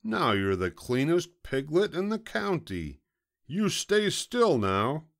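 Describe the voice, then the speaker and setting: Man as a hungry storybook fox, gruff, blustery, gloating, wildly varied pitch. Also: big pitch swings, slow pace, fairly bright tone, heavily acted. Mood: gloating, bossy. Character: hungry, gruff, gullible male fox predator. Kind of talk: children's storybook read-aloud.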